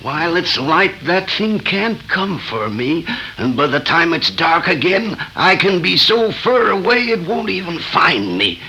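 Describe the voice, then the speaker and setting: An actor playing a violent, guilt-ridden drunkard cowering from doom in melodramatic 1930s radio horror style. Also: male voice, fairly high pitch, sing-song, measured pace, bright, forceful tone, full character voice. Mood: desperate defiance. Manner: melodramatic, fearful. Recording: noisy vintage 1930s radio recording.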